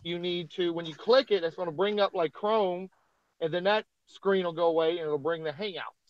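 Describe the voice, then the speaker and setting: A man's voice: high voice, normal speed, no room sound, highs cut off. A man chatting casually on a live stream, explaining things patiently step by step. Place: Texas.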